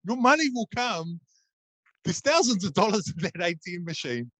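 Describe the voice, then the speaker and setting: A man's voice: sits high, very animated, normal speed, fairly bright tone, no echo. A man in his thirties hosting a casual podcast, joking crudely and facetiously. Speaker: podcast host.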